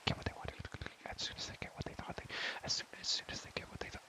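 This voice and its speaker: A young man whispering breathily close to the microphone in an ASMR style, repeating words and phrases over and over as he rambles playfully. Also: racing. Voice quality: breathy, mostly unvoiced whisper. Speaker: ASMR creator and host.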